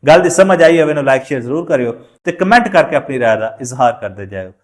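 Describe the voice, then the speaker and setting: A man's voice: medium pitch, lively intonation, quick pace. A man with a Punjabi accent telling a moral story aloud, emphatically repeating phrases for effect. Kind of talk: oral moral storytelling with acted dialogue.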